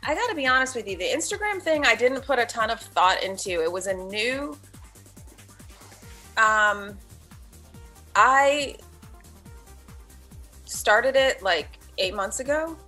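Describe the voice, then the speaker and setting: A middle-aged woman chatting candidly as a podcast guest, her speech full of fillers and restarts. Her voice is bright and lively, with faint music in the background. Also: on the high side, slow pace, long pauses. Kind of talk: casual podcast chat.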